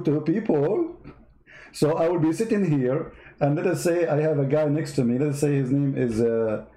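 A man's voice: a little high, creaky, mellow, echoey room, rough recording. A man whose first language is not English, mocking a played clip in sarcastic commentary. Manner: sarcastic, mocking, repeats words. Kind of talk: mocking commentary over clips.

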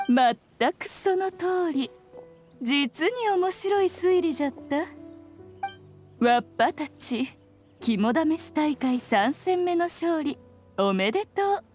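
A woman's voice: high-pitched, expressive, slow pace, a little mellow, soft background music. A woman voicing a playful, commanding ancient fox spirit lady in an anime game, speaking in an archaic, self-assured, teasing way. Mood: amused, triumphant. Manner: archaic, lordly old-fashioned speech, teasing and self-assured. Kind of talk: Japanese anime game voice acting.

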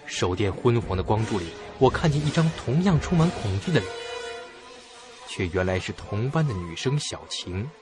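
An actor narrating a suspense audio drama in the first person as a curious, stubborn young student, with expressive, suspenseful delivery. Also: male voice, on the low side, moderate pace, close to an everyday voice. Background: music behind the voice.

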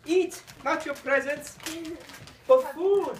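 A jolly, wisecracking Santa, played by a woman in costume, doling out dry jokes between ho-ho-hos. The voice sits a bit high. It is caught on a camcorder in a living room, with a clear layer of household noise. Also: takes it slowly. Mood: teasing. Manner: teasing, deadpan jokes with ho-ho-hos. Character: jolly Santa Claus with a joking old-man voice. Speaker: family member dressed as Santa. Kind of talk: joking family banter. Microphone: handheld camera nearby. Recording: noisy home video.

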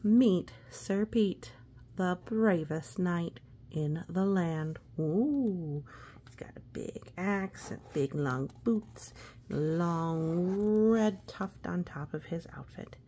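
A woman's voice: on the low side, very slow, warm tone. A woman reading a children's story aloud with playful, exclamatory asides, talking as if to a young child. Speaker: picture book reader.

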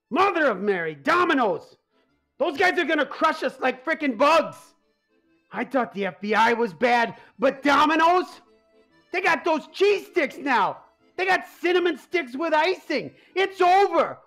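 A man's voice: very high-pitched, big pitch swings, unhurried, a bit bright, faint music behind, exaggerated voice. Man playing a stressed, hot-tempered mob boss. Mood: panicked. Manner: gruff-worded, exasperated, easily riled. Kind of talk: comic radio play acting.

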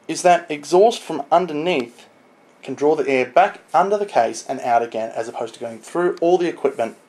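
A man's voice: on the high side, husky. A young man giving an informal, explanatory product walkthrough on camera as a video presenter. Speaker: video presenter showing computer parts.

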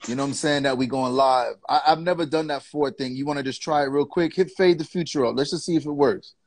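A man's voice: medium pitch, fast. A man in his thirties joining a live stream by phone with an earphone mic, talking in a laid-back, slangy way. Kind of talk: casual live stream chat. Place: indoor room on a phone call.

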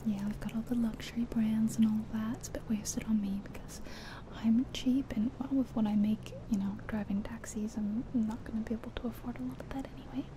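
A woman whispering softly and breathily in an ASMR roleplay as a friendly taxi driver, chatting gently and without hurry. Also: on the high side, a little fast. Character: friendly small-town taxi driver giving a guided ride. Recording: steady car and rain noise. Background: car sounds and rain.

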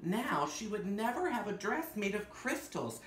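A man hosting storytime in drag, reading a picture book aloud theatrically with dramatic gasps, drawn-out words and playful asides. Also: high-pitched, sing-song, fairly bright tone. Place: indoor room with fabric backdrop. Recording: roomy echo, some background noise.

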